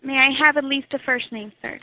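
Polite, firm receptionist, high and very bright, quick delivery. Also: female voice, fairly even intonation, poor audio. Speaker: hotel receptionist. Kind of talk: phone call.

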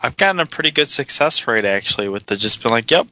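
A man's voice: medium pitch, expressive, quick pace, pushed and bright. A man chatting casually with a friend between prank calls and placing a call himself. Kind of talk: casual chat.

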